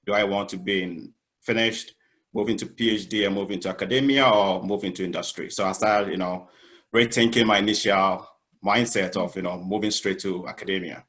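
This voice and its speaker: Deep-voiced man from Ghana, halting. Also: steady tone, fairly bright tone. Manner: halting, many "um" and "you know". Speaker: chemist, panelist. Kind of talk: self-introduction on an online panel.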